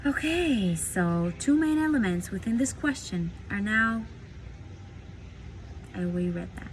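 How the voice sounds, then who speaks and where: A woman from Latvia reads a spiritual book aloud from a parked car at night, in a voice of middle pitch. She drifts into dreamy, emphatic asides between passages. A faint hum of traffic sits behind her. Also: animated, a little slow.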